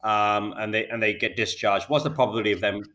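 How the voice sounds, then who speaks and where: A British man speaks quickly in a somewhat low voice, tumbling through his answers with repeated words and restarts. He sounds like a founder in his thirties being interviewed. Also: fairly even intonation, pushed and bright, a little hiss or hum. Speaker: healthcare data company founder.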